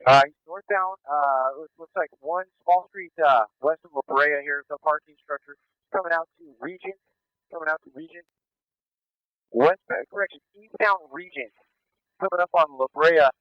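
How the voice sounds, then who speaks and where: A man working as a police officer, calling out a pursuit over police radio in terse, halting live commentary. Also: fairly high pitch, no room sound. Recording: muffled police scanner radio.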